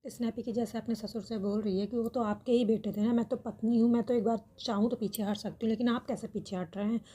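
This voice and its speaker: A woman delivers opinion commentary straight to her audience. Her voice sits a little high but has a very mellow, relaxed timbre. She speaks earnestly, often restating a phrase to drive it home. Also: fast, breathy edge. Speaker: reaction video host commenting on a family controversy. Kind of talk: opinion commentary addressed to viewers.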